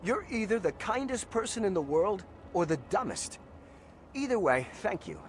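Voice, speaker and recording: A high, animated voice of a timid captive in a video game. The slave sounds earnest, grateful and astonished, with faint noise behind. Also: male voice, normal speed. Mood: amazed, grateful. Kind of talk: video game character dialogue.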